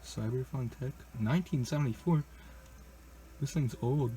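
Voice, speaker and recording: A man acting a puzzled explorer in a horror skit, hushed and halting, recorded from a distance. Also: medium pitch, natural voice. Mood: puzzled, uneasy. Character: puzzled man exploring an old abandoned place. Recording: distant, muffled, faint background noise.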